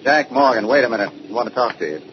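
An actor playing a hot-tempered, vengeful building contractor in 1940s radio drama style, gruff and confrontational. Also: male voice, mid-range voice, lively intonation, racing, close to an everyday voice. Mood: accusing, angry. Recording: noisy, muffled old-time radio recording.

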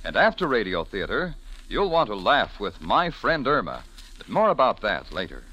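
A man's voice: lowish voice, wildly swooping pitch, moderate pace, background noise. An American man working as a radio announcer, reading a script in 1940s broadcast style. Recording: vintage radio recording.

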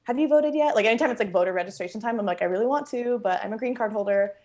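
A woman's voice: on the high side, racing, darkish tone. A young woman born in Bangkok and raised in Los Angeles, chatting casually as an interview guest at home, full of fillers and easy laughter. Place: at home, kitchen nearby.

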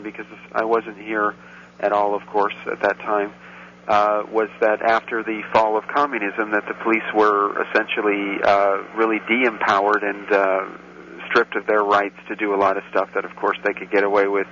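A man's voice: fairly low pitch, bright, forceful tone. A man from the United States answering interview questions hesitantly, with many fillers and restarts. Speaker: guest. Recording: muffled line with faint noise.

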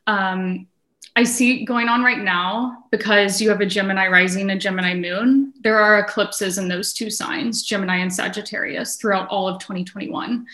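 Woman astrologer, casual and conversational, bright tone, tentative phrasing, echoey room. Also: mid-range voice, steady tone, moderate pace. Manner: hedging, frequent use of "like". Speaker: astrologer guest. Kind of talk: casual conversational chart reading.

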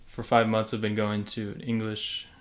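A man's voice: on the low side, monotone, moderate pace. A young American-born man co-hosting a casual faith podcast, easygoing and hedging his words. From United States. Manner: easygoing, hedging with "you know" and "I guess". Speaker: podcast co-host. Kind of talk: casual religious podcast talk.

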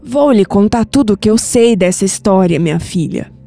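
An actress playing a wise, mystical old Brazilian grandmother in an audio drama, solemn, affectionate and measured. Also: medium pitch, animated, vocal fry, mellow. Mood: gentle, reassuring. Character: tender, wise, mystical old grandmother revealing family secrets. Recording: music bed under the voice.

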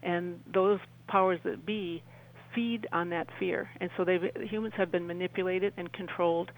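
A woman's voice: fairly low pitch, steady tone, normal speed, rough recording. A woman speaking earnestly and emphatically as a guest in a radio interview, often repeating phrases.

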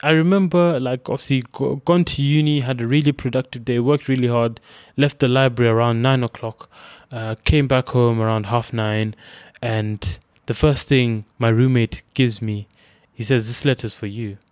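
A man's voice: medium pitch, steady tone, normal speed, a little mellow, no room sound, clean studio sound. A young man from Zimbabwe with a Zimbabwean accent, thoughtfully recounting his own experiences and often restarting his phrases. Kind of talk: reflective personal account.

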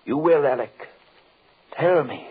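A man's voice: medium pitch, animated, slightly rough. An actor playing a commanding, fatherly yet sinister magician and hypnotist in theatrical 1940s radio horror style. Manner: slow incantatory commands, theatrical. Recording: muffled tinny 1940s radio recording.

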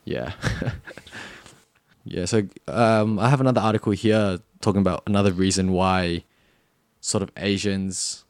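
A man's voice: deep voice, steady tone, unhurried, a little mellow, clean tone, dry recording. A young Australian man co-hosting a podcast, chatting casually and rambling with lots of fillers. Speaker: podcast co-host. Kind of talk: casual chat.

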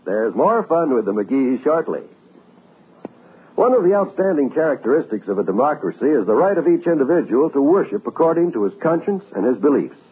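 A man's voice: medium pitch, a little hiss or hum. An American man reading a formal, solemn scripted message as a 1940s radio announcer. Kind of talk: 1940s scripted public-service radio narration. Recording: muffled old radio broadcast.

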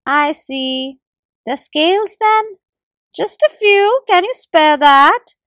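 A proud, somewhat greedy squirrel hunter who teases and wheedles in a high, bouncy voice from a storybook, with a woman doing the voice. His pitch swoops widely. The recording is close and dry. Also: animated, slow, fairly bright tone, no room sound, exaggerated voice. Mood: wheedling. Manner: teasing, wheedling.

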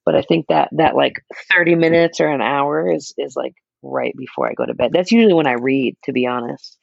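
A young man with a naturally raspy voice, chatting casually as a podcast co-host, laid-back and quick to laugh. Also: on the high side, sing-song, no echo, highs cut off. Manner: laid-back, laughs often, many fillers. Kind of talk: casual chat.

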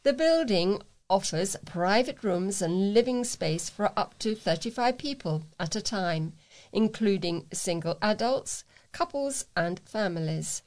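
A woman's voice: mid-range voice, animated, a little slow, darkish tone. A woman reading local news as a volunteer newsreader, in a careful manner.

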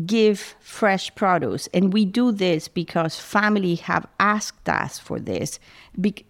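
A middle-aged woman from Spain, a food pantry founder chatting as a guest in a halting, restarting way. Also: on the low side. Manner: warm, halting, many restarts. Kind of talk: casual interview talk.

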